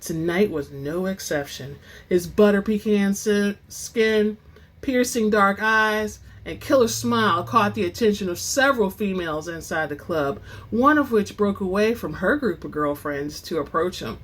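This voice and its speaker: A woman hosting and reading book excerpts in a dramatic, expressive storytelling style, with informal asides. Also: medium pitch, measured pace. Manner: theatrical, expressive; informal, says y'all. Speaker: host and reader of book excerpts.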